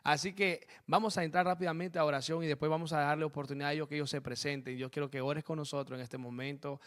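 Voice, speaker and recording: A man with a slightly raised pitch hosts a church interview program as its pastor. He speaks fervently and keeps repeating amens and blessings between his questions. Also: steady tone, fast talker, fairly bright tone. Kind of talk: preaching interview with spoken prayer. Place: church program set in Spain.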